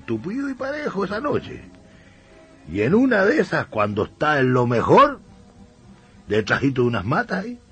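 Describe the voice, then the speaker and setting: An actor playing a folksy Chilean country storyteller, telling a spooky radio play tale in a colloquial, suspenseful way. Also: male voice, mid-range voice. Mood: confiding, suspenseful. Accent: rural Chilean. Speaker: radio drama narrator.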